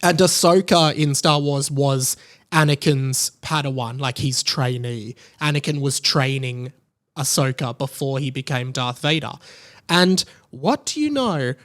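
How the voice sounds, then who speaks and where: A man's voice: mid-range voice, measured pace, fairly bright tone. A man hosting a podcast, talking casually and emphatically in an informal, gushing fan style. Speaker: podcast host. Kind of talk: casual opinionated podcast monologue about pop culture.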